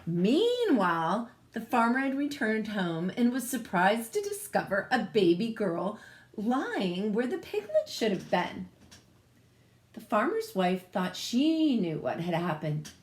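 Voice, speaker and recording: A woman teacher reading a picture book aloud to children, expressive and often putting questions to her listeners. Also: mid-range voice, slow pace.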